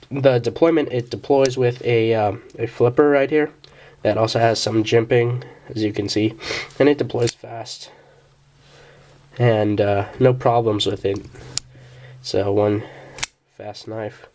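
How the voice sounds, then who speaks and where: A man's voice: mid-range voice, fairly even intonation, a little mellow, slight background noise. Young guy kinda sick, rambling knife review, lots of uh and restarts, plain mid voice. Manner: halting, many fillers and restarts. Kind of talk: casual product review.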